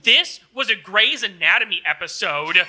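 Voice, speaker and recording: Male comedy co-host, forceful bright voice, animated range, snarky script-reading delivery. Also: sits high, moderate pace. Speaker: comedy show co-host and performer.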